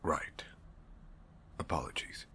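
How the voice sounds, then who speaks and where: A man's voice: slow, pushed and bright, whispered. A man playing a stern, impatient manager in a crime ring, with a clipped, exacting delivery, in an audio drama. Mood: formal, disapproving. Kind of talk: scripted audio roleplay acting.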